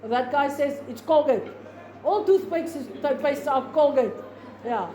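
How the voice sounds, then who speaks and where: A woman's voice: sits high, unhurried, mellow. A South African woman, a native Afrikaans speaker, teaching a class in an informal, rambling way with many restarts. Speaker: lecturer. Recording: echoey room with faint noise.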